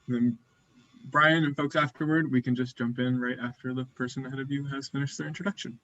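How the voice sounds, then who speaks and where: Male game host, relaxed delivery, dry and slightly muffled call audio. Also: medium pitch, quick pace, no echo. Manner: relaxed, filler-heavy presenting. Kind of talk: explaining rules on a video call. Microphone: video call.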